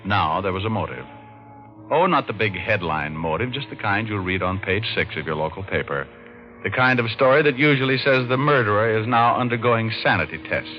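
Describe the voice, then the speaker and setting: Man playing a low-voiced wisecracking radio detective. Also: animated, fairly quick, faint music behind, natural voice. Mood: wry narration. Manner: casual, slangy, reasoning aloud. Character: wisecracking, sharp private detective, grown man. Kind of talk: 1940s-50s radio detective acting and narration. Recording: muffled, noisy old radio recording.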